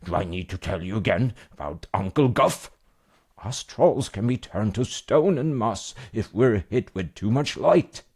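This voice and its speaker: Man acting a fatherly mountain troll, gruff, husky, unhurried, blustery but soothing, bedtime storybook character. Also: expressive, a little mellow. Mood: blustery, exasperated. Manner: booming exclamations, soothing a child.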